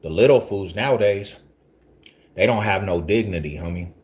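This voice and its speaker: A man's voice: lowish voice, moderate pace, darkish tone. A man in his forties from Denver, talking casually to his livestream viewers in rambling street slang and often repeating himself. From Denver, Colorado. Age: 40s.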